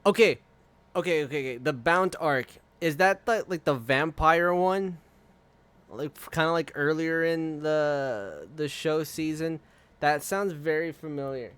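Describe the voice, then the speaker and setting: A man's voice: on the high side, measured pace, a bit bright, clean tone. A man streaming live, chatting casually and rambling with frequent swearing and laughter. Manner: profane, rambling, laughs often.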